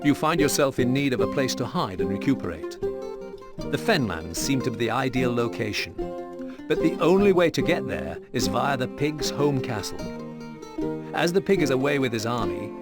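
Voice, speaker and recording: A man delivering measured, serious scripted narration for video game mission briefings. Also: medium pitch, very animated. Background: music with plucked guitar.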